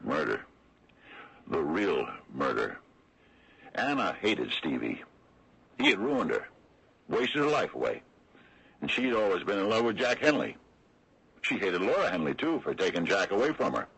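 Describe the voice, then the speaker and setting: Sardonic small-town lawman, extremely low husky voice, folksy deliberate delivery, old radio drama recording. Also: sing-song, a bit bright, exaggerated voice, poor audio. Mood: somber, sardonic. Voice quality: very deep, gravelly, rough. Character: wry, slow-talking older small-town lawman exposing a cover-up. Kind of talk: 1970s radio mystery acting.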